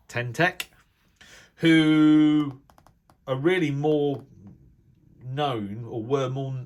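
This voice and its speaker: A middle-aged British man with a fairly low voice gives an unscripted hobbyist commentary. He speaks casually, often pausing and restarting as he thinks aloud. The delivery rambles gently from point to point. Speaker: radio hobbyist video presenter. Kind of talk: casual unscripted commentary while browsing a reference book.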